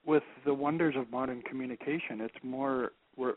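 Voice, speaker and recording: A soft-spoken man speaking as a guest, halting, with many repeated words and restarts. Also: medium pitch, fairly even intonation. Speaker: guest expert. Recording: phone-line audio.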